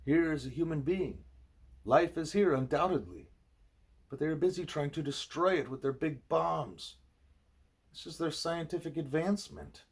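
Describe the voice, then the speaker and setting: Man voicing a scornful, higher-pitched guru. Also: moderate pace, slightly rough. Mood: scornful, insistent. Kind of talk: acted dialogue in an audiobook.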